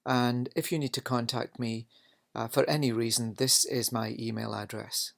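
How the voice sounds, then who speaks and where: A man's voice: mid-range voice, level tone, moderate pace, vocal fry. A Scottish man, a culinary instructor, giving a recorded lecture, explaining at length with frequent fillers. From Scotland.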